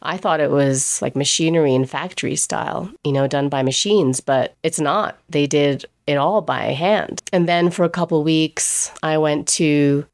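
Woman from England, pastry chef, chatty first-person storyteller who quotes others and laughs; smooth low voice. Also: moderate pace, hardly any pauses, darkish tone.

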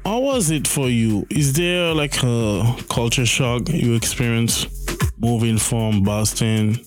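Male podcast host, mid pitch, halting questions, faint music underneath. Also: expressive. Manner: halting questions, frequent affirmations. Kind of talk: podcast interview.

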